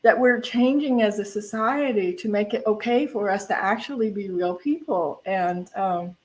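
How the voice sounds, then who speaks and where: An older woman talks in a medium-pitched voice. She shares personal reflections as part of a spiritual talk, candid and conversational, with emphasis on the words that matter. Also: moderate pace, rough recording. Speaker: minister.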